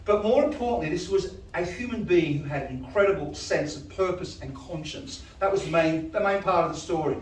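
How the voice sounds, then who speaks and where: A man with a loud voice leading a choir, telling a story to a live audience in a chatty way. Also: high voice, fairly quick, few pauses. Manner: chatty, storytelling, asides to the crowd. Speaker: choir director. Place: large hall. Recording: echoey hall from a distance.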